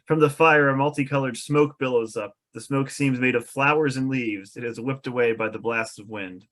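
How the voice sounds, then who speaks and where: A man's voice: mid-range voice, steady tone, normal speed, a bit bright. A man reading scripted stage directions as narrator at an amateur comedic table read.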